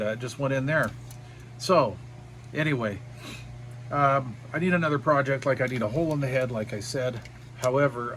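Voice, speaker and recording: A man's voice: medium pitch, a bit bright, slight background noise. A middle-aged man giving a casual, unscripted walkthrough of a DIY project in a folksy, rambling way. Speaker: DIY radio hobbyist presenter.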